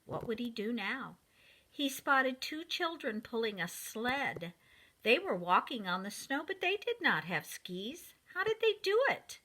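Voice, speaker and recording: A woman reads a storybook to preschool children with a very lively, swooping pitch. Her delivery is slow and deliberate, and her voice is bright and clear in its address to the young listeners. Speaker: preschool teacher reading a picture book.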